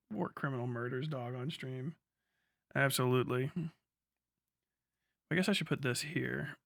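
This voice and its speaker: A man's voice: medium pitch, measured pace, creaky, long pauses, a bit bright, no room sound, studio quality. A man live-streaming, chatting casually with viewers in a rambling, jokey, sweary way. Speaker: livestreamer.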